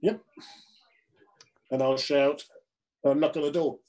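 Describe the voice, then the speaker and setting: Man, game player, slow drawl, slightly high voice, hesitant. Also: steady tone, darkish tone. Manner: hesitant, thinking aloud.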